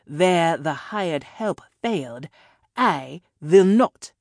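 A woman's voice: low-pitched, animated, extremely slow, a little mellow, no room sound, clean studio sound, heavily acted. A woman voicing a smug, sarcastic male villain interrogator in an audiobook, mock-polite and menacing, with theatrical, taunting delivery.

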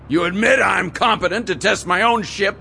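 A man's voice: high voice, normal speed, creaky, pushed and bright, a little hiss or hum, pitched above the performer's own voice, full character voice. A man voicing a big, blustering 46-year-old aircraft company owner who roars his indignation at everyone around him. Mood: furious blustering. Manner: roaring, indignant, bullying.

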